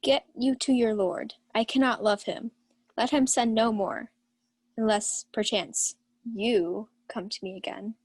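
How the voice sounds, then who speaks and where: Young woman as proud noble lady, fairly high. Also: fairly even intonation, measured pace, breathy edge. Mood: haughty. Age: young adult. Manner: commanding, theatrical. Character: Olivia, proud, imperious young countess. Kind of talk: 1940s radio Shakespeare acting.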